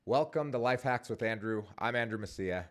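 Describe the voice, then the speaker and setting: A man's voice: mid-range voice, expressive, brisk. A man in his forties hosting his own vlog, talking casually and rambling with many fillers and restarts. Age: 40s. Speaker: vlogger and podcast host.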